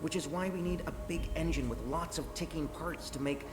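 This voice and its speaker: A woman's voice: low voice, natural voice. An actress voicing a nervous young female lead engineer in a video game, stammering and rambling as she explains. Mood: explaining. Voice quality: stammering, flustered, fast rambling. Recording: game audio with echo and music.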